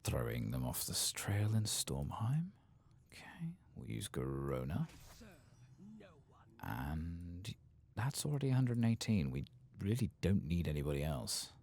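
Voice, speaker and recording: A man softly murmuring low-key ASMR game commentary to himself, breathy and gravelly, often slipping into a whisper. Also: very animated, a little slow. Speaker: streamer playing a video game.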